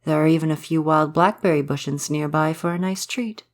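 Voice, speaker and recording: A man playing a gentle, courtly forest spirit in an ASMR roleplay, speaking in formal, old-fashioned, polite phrases. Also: on the high side, fairly even intonation, normal speed, close to an everyday voice. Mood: warm, polite. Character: gentle, courtly male forest spirit, curious about humans. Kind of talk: ASMR roleplay, soft romantic audio drama.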